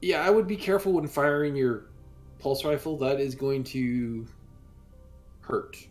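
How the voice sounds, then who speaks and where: A man in a tabletop roleplaying session with an ordinary, mid-range voice. He talks calmly and dryly, offering cautious advice in character. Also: mid-range voice, a little slow, soft background music. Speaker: tabletop game player.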